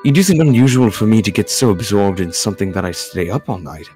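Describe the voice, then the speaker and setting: A polite catboy butler out of a visual novel , as a man plays him. His voice sits low and moves expressively as he offers formal, dutiful courtesies, with music playing behind him. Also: expressive, brisk, warm tone, close to an everyday voice. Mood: reassuring. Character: polite, dutiful male catboy butler, soft-spoken night owl. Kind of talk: visual novel fan dub.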